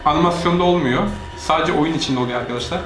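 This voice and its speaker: Man, rapid speech, casual let's-play host, move-by-move narration, roomy echo. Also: a little high, music in the background. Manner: narrates each move to viewers. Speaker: gaming channel host, parent playing with child. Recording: echoey room, faint noise.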